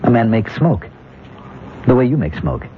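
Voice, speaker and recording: A man playing a bewildered, disoriented husband of about forty in a radio drama, halting and earnest. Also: mid-range voice, sing-song, lightly acted. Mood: puzzled wonder. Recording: tinny, noisy vintage radio recording.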